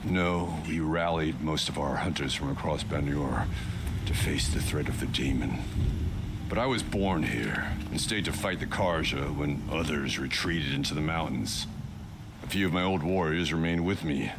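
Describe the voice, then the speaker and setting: Very deep, stern warrior chieftain. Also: male voice, normal speed, heavy background noise. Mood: proud, resolute. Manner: grave, formal, curt. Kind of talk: video game dialogue acting.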